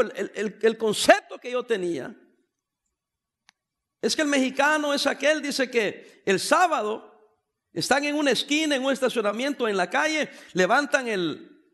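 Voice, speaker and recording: A man's voice: extremely high pitch, fairly bright tone. A man from El Salvador preaching a sermon as pastor of a church, conversational and joking as he talks to the congregation. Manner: conversational preaching with humorous asides.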